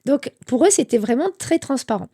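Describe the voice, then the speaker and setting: Mellow woman telling her story. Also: sits high, moderate pace, slightly rough. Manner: animated storytelling with quoted dialogue. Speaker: interview guest. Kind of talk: candid personal story.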